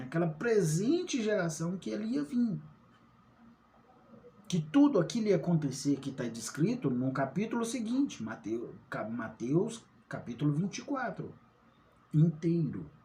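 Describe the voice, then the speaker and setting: A man's voice: high voice, mellow. A man giving an informal Bible study, often asking rhetorical questions and checking in with his listeners. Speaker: online Bible teacher.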